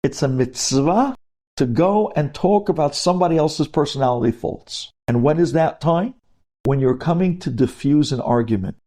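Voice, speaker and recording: A man's voice: fairly high pitch, moderate pace, warm tone. Emphatic male rabbi teaching. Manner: emphatic, rhetorical questions and repeated phrases. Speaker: rabbi giving religious lessons.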